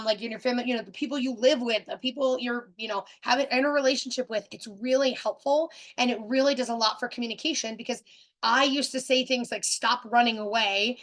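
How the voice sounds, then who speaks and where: A woman with a somewhat high voice hosts a casual webinar. She speaks directly and with big energy, often restarting her sentences and padding them with fillers. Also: a bit bright. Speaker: webinar host. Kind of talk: casual teaching talk.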